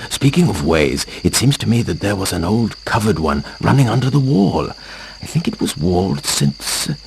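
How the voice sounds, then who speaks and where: A sly kindly old-mission priest, gravelly and low, faint noise behind. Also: male voice, sing-song, normal speed. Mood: knowing. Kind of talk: video game cutscene acting.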